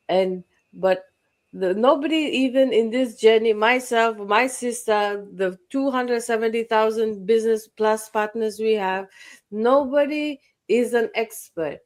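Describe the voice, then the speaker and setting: A woman hosting a motivational online talk, speaking earnestly, repeating phrases for emphasis and listing points one by one. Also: on the high side. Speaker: host.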